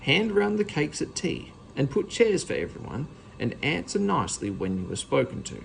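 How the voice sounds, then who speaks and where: A man voicing a stern, easily scandalised older aunt with a sharp, scolding manner, in a children's storybook reading. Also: fairly high pitch, wildly swooping pitch, normal speed, creaky, slight background noise, exaggerated voice, poor audio. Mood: instructing.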